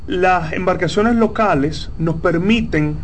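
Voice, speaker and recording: A Dominican man, a company founder giving measured, explanatory interview answers with frequent pauses. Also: sits high, slight background noise. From Dominican Republic.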